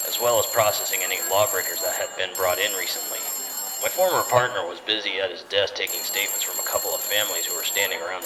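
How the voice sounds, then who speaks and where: A man playing a private detective, narrating his case in a measured, matter-of-fact noir radio-serial style. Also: low-pitched, distant mic, background noise, lightly acted.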